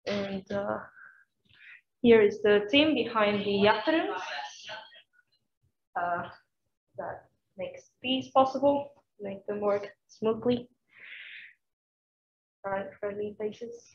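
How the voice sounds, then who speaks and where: A woman's voice: medium pitch, expressive, takes it slowly, pauses often. A woman hosting a science talk event, introducing it hesitantly with fillers and self-corrections. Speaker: event host. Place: lecture room. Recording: echoey, muffled room recording.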